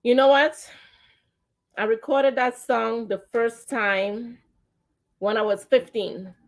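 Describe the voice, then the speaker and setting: A woman hosting an online talk radio show, telling stories in a lively way and now and then singing lines of her own song. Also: on the high side, slow pace. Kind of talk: casual radio chat with sung song excerpts.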